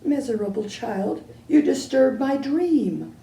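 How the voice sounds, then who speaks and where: A woman's voice: high voice, expressive, slow, creaky, mellow, full character voice. A woman reading a drowsy, grumbling old man. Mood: grumpy. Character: dreamy, grumpy old man with a ladder. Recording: echoey room, from a distance.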